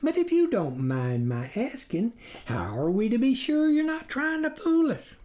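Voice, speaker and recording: An earnest storybook farm wife acted out by a narrator, trusting but questioning. She talks in homely country phrases, with a lively, swinging pitch. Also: measured pace. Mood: politely wary.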